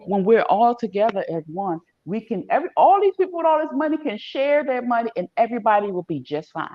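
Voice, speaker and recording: A woman's voice: fairly high pitch, sing-song, fast, muffled recording. A woman giving earnest, emphatic opinions as a talk show panelist, repeating her points.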